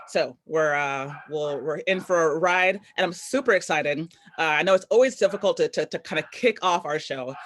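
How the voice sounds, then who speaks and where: A woman's voice: on the low side, slight background noise. A woman hosting a live online storytelling event over a video call, upbeat and chatty with loose, rambling phrasing. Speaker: host.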